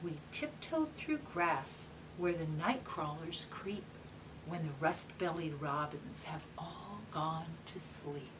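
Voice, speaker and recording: Female children's read-aloud host, very unhurried and patient, simple explanatory phrasing, recorded off-mic with slight noise. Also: low-pitched, animated. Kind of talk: gentle explanatory talk and picture-book read-aloud for young children. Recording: distant mic with faint background noise.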